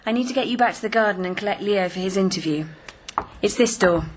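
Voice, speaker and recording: An actress playing a brisk young television assistant in a radio drama, patient, tactful and firm. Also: female voice, medium pitch, a little hiss or hum, close to an everyday voice. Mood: brisk, gentle. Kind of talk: broadcast radio drama acting.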